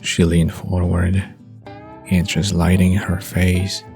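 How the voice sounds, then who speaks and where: A man narrating a bedtime story softly from a script. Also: sits low, monotone, slow pace. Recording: soft guitar music behind the voice.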